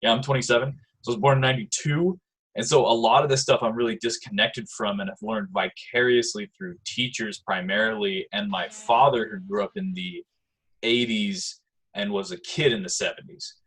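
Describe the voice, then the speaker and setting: Twenty-something guy hosting a zoom chat, kinda husky, says like a lot. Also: medium pitch, brisk, fairly bright tone, rough recording. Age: 20s. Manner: chatty, lots of "like" fillers. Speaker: host. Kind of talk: casual chat on a video call. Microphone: video call.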